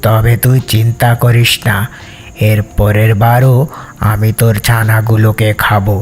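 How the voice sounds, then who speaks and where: A man playing a wicked, greedy, scheming fox in a cartoon fable, with a menacing, taunting, drawn-out delivery. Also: lowish voice, little pitch movement, natural voice. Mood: gloating.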